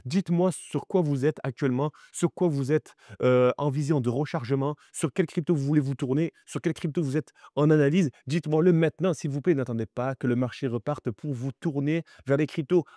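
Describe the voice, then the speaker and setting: A man's voice: a little high, a little fast, few pauses, no room sound. Chatty man with a dry close sound. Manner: chatty and animated, many fillers, urges viewers directly. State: slightly stuffy from the cold. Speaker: crypto channel host. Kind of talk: crypto market analysis vlog.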